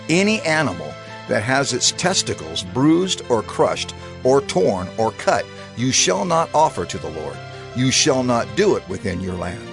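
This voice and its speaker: A gravelly lawgiver. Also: male voice, medium pitch. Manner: measured, declaiming, authoritative. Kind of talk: dramatized scripture reading.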